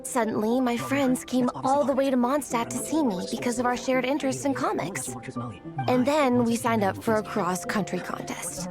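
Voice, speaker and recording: Woman as a high-voiced earnest young girl. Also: moderate pace, over music, close to an everyday voice. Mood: determined. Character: earnest young comic-artist girl, insecure but determined. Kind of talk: video game voice acting.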